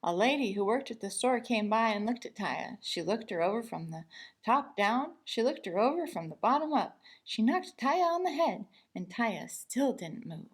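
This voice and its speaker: A woman reading a children's picture book aloud as an expressive storyteller, with deliberate emphasis. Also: on the high side, slightly rough.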